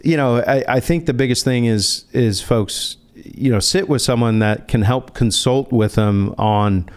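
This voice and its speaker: A man's voice: medium pitch, a little slow. A man speaking as a financial advisor in a conversational interview, explaining step by step and posing rhetorical questions.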